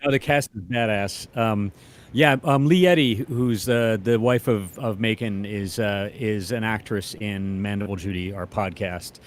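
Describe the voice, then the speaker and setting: A man's voice: lowish voice, a little slow. A man co-hosting a casual podcast chat, laid-back and self-deprecating in the way he talks. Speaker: podcast host, musician.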